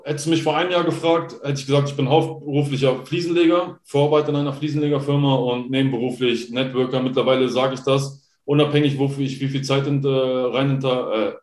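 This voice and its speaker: Guest spot: German man in his thirties, rambling chatty storyteller, mid-pitched, roomy echoey sound. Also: a little mellow. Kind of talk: casual interview chat. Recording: roomy echo.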